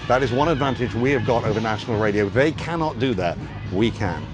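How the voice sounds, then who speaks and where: A man speaks with pride and emphasis in a documentary interview. Music plays clearly underneath him. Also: mid-range voice, expressive, a little fast. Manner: proud, emphatic. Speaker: radio station owner. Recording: music bed behind the voice.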